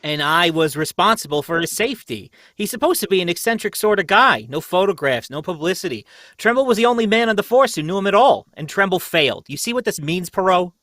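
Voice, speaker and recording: A man's voice: high-pitched, lively intonation, brisk, sharp tone, no echo, studio quality. A man playing an agitated, blustering police inspector who stammers and exclaims in an old-time radio mystery.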